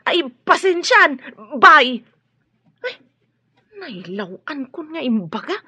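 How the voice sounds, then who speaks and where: High, bright, excitable servant played by a woman. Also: slow, no echo, exaggerated voice. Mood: flustered. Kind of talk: Ilocano audio drama acting.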